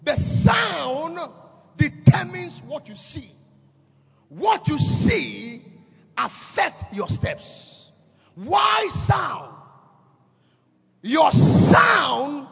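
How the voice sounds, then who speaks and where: A man with a Ghanaian accent preaching a sermon, shouting in sing-song, repeating rising phrases in quick bursts. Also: very high-pitched, creaky. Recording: dull lo-fi sound with long hall reverb.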